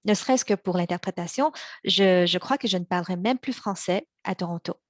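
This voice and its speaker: A young woman born in China and raised in Montreal, giving a prepared practice speech in a measured way, slowing on key words, with small restarts. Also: on the low side, no echo, studio quality. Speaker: practice speaker for interpreters.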